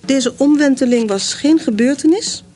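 A woman narrating history in a scripted, measured radio-drama style. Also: high-pitched, mellow.

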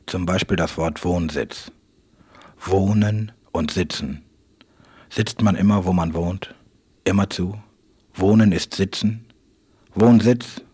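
A man with a very low, husky voice speaks a literary monologue for radio. He moves slowly through short, halting fragments, leaving each phrase on its own. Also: darkish tone. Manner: terse, halting short phrases, sometimes dropping to a whisper.

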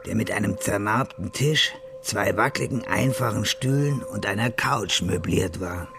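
A man's voice: fairly low pitch, normal speed, creaky. A man reading scripted narration for an audio crime drama. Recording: music under the voice. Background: music.

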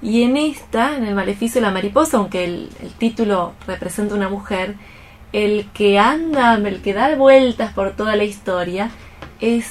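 A woman with an Argentine accent chatting casually, in halting, hesitant phrases. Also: mid-range voice. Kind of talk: casual chat.

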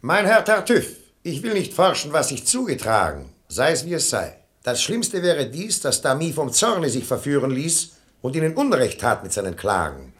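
Casting: a sensible, outspoken gentleman in radio verse comedy, fairly high male voice, articulate, lively inflection. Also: normal speed. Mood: measured, appealing. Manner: reasoning, pointed, articulate. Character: Cléon, level-headed, frank male voice of reason. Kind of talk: classical verse stage comedy as radio play.

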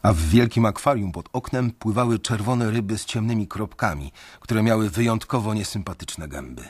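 An anxious, wry bank clerk telling his own story in a radio play. His voice is deep and husky, and the telling stays measured and dry. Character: anxious, sardonic male bank employee facing layoff. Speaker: narrator and bank analyst. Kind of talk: first-person radio drama narration.

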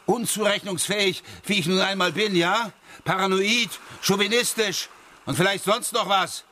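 A man's voice: high-pitched, moderate pace, bright, forceful tone, a little hiss or hum. An actor playing a defensive, exasperated ex-policeman with a sarcastic edge in a radio crime drama. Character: defensive, exasperated ex-policeman suspected of hurting his girlfriend.

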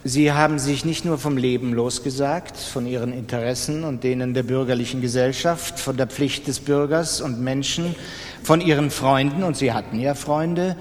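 An actor playing a stern gentleman in a literary radio drama, reproaching a gambler in an insistent, deliberate, rhetorical way. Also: medium pitch, fairly even intonation, a little hiss or hum, natural voice. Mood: reproachful. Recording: reverberant hall.

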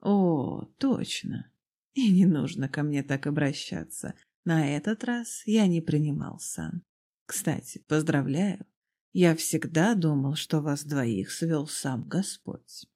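Storybook role: narrator plays genial former priest, male, polite, slow-spoken, dark soft husky tone. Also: mid-range voice. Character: genial, courteous middle-aged man, former priest neighbour.

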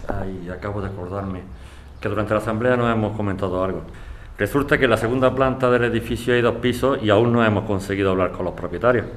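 Man as willing neighbour, low-pitched, fast talker, radio drama, faint background noise. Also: lightly acted. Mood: earnest. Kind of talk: amateur radio drama acting.

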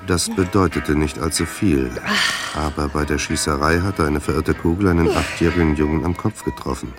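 A male narrator of a detective radio drama. His voice is exceptionally deep, and his delivery is sombre and matter-of-fact, with deliberate phrasing. A guitar plays faintly underneath.

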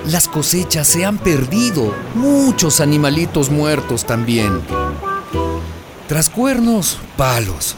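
Male radio drama storyteller, folksy and dramatic. Fairly high, expressive pitch over music. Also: slow pace. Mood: grave. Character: folksy male storyteller recounting a neighbourhood's disaster. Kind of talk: scripted radio drama narration. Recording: music playing behind.

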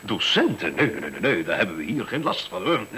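A man's voice: fairly low pitch, big pitch swings, fairly quick, bright, forceful tone, slightly rough, heavily acted. An actor in a radio play voicing a smug, condescending male school director who talks glibly about efficiency and interrupts himself. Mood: condescending, scornful. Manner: condescending, glib, self-interrupting. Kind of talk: radio drama acting, conversational monologue. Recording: hissy, noisy old radio recording.

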